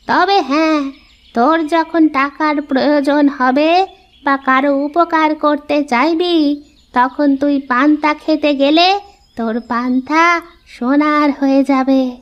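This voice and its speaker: A woman voicing a kindly old village woman in a cartoon, speaking in a slow, drawn-out way as she tests and blesses. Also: high voice, dull audio. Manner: slow, drawn-out, addressing youngster familiarly. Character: old village woman granting boons, kindly but testing.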